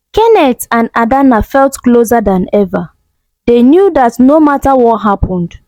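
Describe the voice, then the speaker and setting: A woman's voice: on the high side, darkish tone, no echo, low-quality recording. A woman telling a scripted story as a dramatic narrator.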